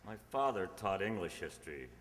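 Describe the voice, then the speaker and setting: A man's voice: low voice, sing-song, a little fast. A man playing a laconic, reluctant writer of thirty in a radio play, understated and wry. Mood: wry, awkward. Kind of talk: radio play acting of a 1940s screwball comedy. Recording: reverberant hall stage recording.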